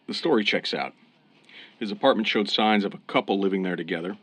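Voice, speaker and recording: Man playing calm, low-voiced good cop. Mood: reasonable, firm. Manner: measured, reasonable, authoritative. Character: calm, fair-minded male police officer questioning a suspect. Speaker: police interrogator. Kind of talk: old-time radio crime drama acting.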